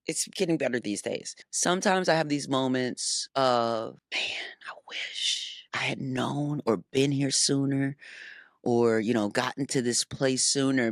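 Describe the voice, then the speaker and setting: A woman's voice: rumbling low, dry recording, clean studio sound. A woman in her forties hosting an interview, talking earnestly and gushing with thanks. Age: 40s. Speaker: host. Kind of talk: casual interview conversation.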